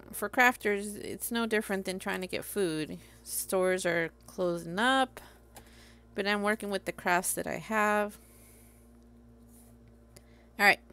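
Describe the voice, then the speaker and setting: A chatty Puerto Rican woman livestreaming from her craft table, talking in a Puerto Rican accent and a mid-range voice with a gravelly fry. She rambles casually as she works and laughs at her own tangents. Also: medium pitch, bright, forceful tone. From Puerto Rico. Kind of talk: casual chat while crafting. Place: craft table at home, overhead camera on her hands.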